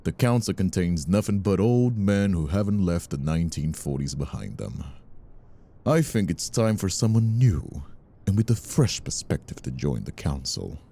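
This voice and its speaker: An actor playing a menacing, condescending mobster villain in an audio roleplay, taunting with a drawl. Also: male voice, low voice, big pitch swings, moderate pace, creaky, mellow, heavily acted. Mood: defiant, menacing. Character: menacing, mocking ambitious mobster villain, adult man. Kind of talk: dramatic audio roleplay acting.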